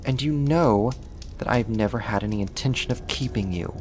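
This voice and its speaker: A voice actor playing a sadistic, haughty half-spider monster in an ASMR horror roleplay, talking slowly and condescendingly in a theatrical way. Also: mid-range voice, slight background noise, close to an everyday voice. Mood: irritated, dismissive.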